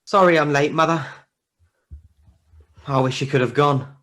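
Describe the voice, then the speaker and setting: A man acts a gentle father in mourning for a radio drama. The words come slowly and tenderly, with tears close to the surface. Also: fairly high pitch, noisy background, close to an everyday voice. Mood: gentle, sorrowful. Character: gentle grieving father of a poor family. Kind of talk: theatrical radio play acting.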